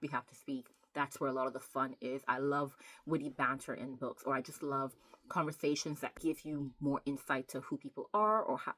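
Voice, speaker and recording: A woman's voice: rumbling low, fairly bright tone, rough recording. A woman chatting casually as a book vlogger, talking emphatically and repeating words to stress them. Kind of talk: casual chatty vlog about writing.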